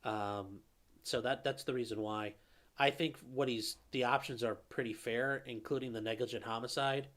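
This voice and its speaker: A man's voice: mid-range voice, fairly even intonation, moderate pace, a bit bright. Casual man answering live chat. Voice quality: calls his own voice nasally; a viewer calls it velvety. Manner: conversational, answers viewer questions with fillers and restarts. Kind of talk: casual live-stream commentary.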